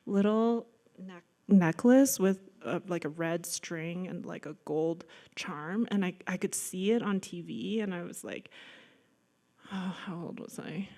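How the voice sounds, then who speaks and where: A woman in her thirties from the United States speaks to a lecture audience about her own paintings. She talks in an unhurried, chatty way, filling gaps with likes and ums and gently poking fun at herself. Her voice sits at an ordinary middle pitch. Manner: chatty and self-deprecating, many likes and ums, laughs between remarks. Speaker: guest artist lecturer. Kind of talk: casual slide-show art talk. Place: lecture room.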